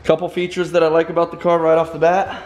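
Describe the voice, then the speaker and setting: A man talking excitedly and loudly in a vlog clip. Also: fairly high pitch, a little fast. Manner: excited, loud. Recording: distant clip with faint noise.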